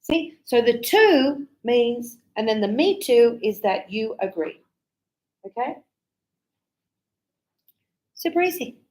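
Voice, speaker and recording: Slow, high-voiced woman teaching. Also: expressive, darkish tone. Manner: slow, clear explaining, acting out sample dialogues. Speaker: English teacher. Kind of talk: English lesson for learners.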